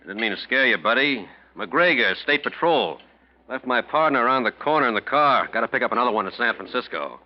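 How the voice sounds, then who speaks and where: An actor playing a talkative, bossy highway patrolman with a casual, slangy manner in a 1940s radio drama. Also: expressive, brisk, close to an everyday voice. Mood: breezy, probing. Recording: muffled, noisy 1940s radio recording.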